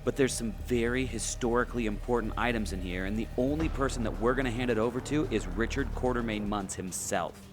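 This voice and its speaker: A man's voice: medium pitch, a little fast, hardly any pauses, noisy background, lightly acted. A man as a skeptical adventurer buddy. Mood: wary, firm. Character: skeptical, sarcastic male adventure enthusiast, wary buddy type. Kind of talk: audio adventure drama acting.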